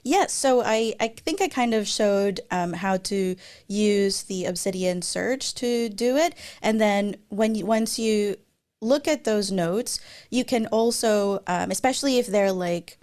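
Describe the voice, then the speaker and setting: A woman's voice: mid-range voice, measured pace, a little mellow. A woman in her thirties, a native Tagalog speaker, answering audience questions casually on a video call with lots of fillers. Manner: casual and chatty, many fillers and restarts. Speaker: guest speaker. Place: indoor room. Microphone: webcam video call.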